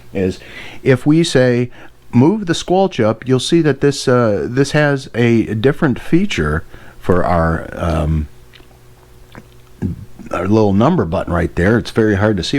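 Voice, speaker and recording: An American man giving a casual hands-on gadget demonstration in an informal, enthusiastic, rambling way. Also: fairly low pitch. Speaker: radio hobbyist presenter.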